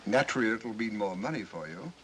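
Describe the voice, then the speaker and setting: An actor playing a cold, calculating criminal mastermind in a 1940s film thriller, controlled and smoothly insistent. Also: male voice, on the low side, expressive, fast talker, lightly acted. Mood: calculating, persuasive. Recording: noisy, aged film soundtrack.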